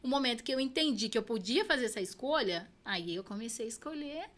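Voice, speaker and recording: A woman's voice: on the high side, expressive, fast, a bit bright. A Brazilian woman in her thirties, a podcast guest telling her own story in an earnest, reflective way. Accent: Brazilian Portuguese. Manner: earnest, reflective, repeats phrases for emphasis. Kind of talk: personal storytelling in an interview.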